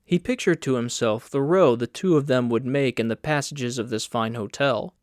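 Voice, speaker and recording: A man's voice: mid-range voice, moderate pace, dry recording, studio quality. A man reading scripted literary narration as an audiobook narrator.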